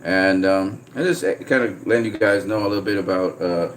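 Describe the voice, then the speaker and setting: A man from Trinidad chatting casually on a livestream, reading out viewer comments in a relaxed way. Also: low-pitched, fairly quick, slight background noise. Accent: slight American-sounding Trinidadian. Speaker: vlogger.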